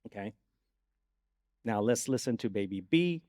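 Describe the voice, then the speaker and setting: A man's voice: lowish voice, lively intonation, a little slow, darkish tone. A man with a Cantonese accent, a native Cantonese speaker, giving an academic lecture and explaining things as he checks in with his audience. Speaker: guest lecturer, neuroscience professor. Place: conference lecture hall.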